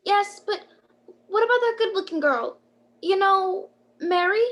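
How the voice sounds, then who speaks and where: A woman's voice: very high voice, lively intonation, a little slow, fairly bright tone, exaggerated voice. A woman playing an eager, curious apprentice angel in a 1940s-style radio play. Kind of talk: 1940s radio drama acting.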